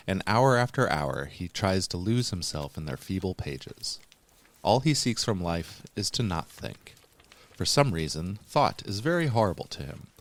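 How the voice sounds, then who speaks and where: A man reading scripted horror narration as a podcast host, in a measured, deliberate and formal manner. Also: low-pitched, dry recording.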